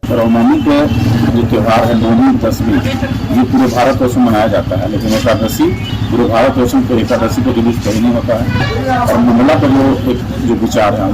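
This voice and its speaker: Man talking over loud street noise. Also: mid-range voice, expressive, normal speed, a loud crowd. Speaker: local author. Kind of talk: interview soundbite.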